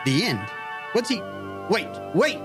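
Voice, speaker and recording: A man playing a clinical doctor in a radio play. His voice is high and extremely varied in pitch, precise in wording and coaxing, with some music underneath. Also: big pitch swings, unhurried. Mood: intent. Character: measured, clinical male doctor handling a troubled patient. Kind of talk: radio drama acting.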